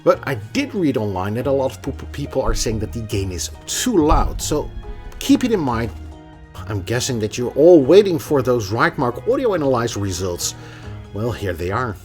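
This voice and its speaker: A man's voice: medium pitch, sing-song, moderate pace, darkish tone, soft background music. A man presenting a product review in a conversational, emphatic way, occasionally stumbling over a word. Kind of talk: scripted product review with casual asides.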